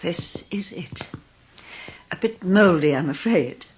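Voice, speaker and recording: Imperious, low-voiced old lady. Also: expressive, slightly rough, exaggerated voice. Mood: breezy, dismissive. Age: older. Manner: theatrical, commanding, brisk dismissals. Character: imperious, devout old wealthy widow, sly and curious. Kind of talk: radio drama acting. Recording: dull, noisy old radio recording.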